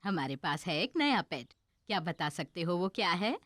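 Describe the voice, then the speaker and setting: A woman voicing a kindly old granny pig in a children's cartoon dub, affectionate and expressive. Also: on the low side, moderate pace. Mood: welcoming.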